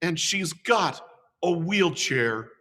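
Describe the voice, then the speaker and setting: A man reading aloud, voicing a little girl who speaks slowly and full of wonder. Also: fairly high pitch, animated, pushed and bright, long reverb tail, exaggerated voice. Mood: delighted.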